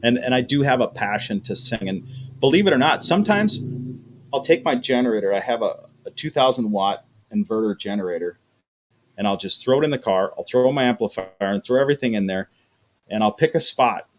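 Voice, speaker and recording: Casting note: middle-aged man, mid-pitched, easygoing livestream host, talks in loose restarts. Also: animated, a little fast, slight background noise, poor audio. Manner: chatty, repeats words, acts out quoted voices. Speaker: livestream host reading chat. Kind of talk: casual livestream chat.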